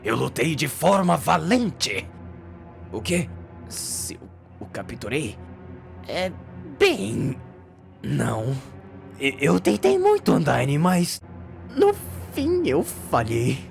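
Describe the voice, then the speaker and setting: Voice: mid-range voice, wildly swooping pitch, gravelly, faint music behind, exaggerated voice. Someone voicing a nervous, boastful skeleton guard, wildly swooping. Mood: boastful, then sheepish. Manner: stammering, dramatic, halting. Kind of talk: dramatic character voicing for a story.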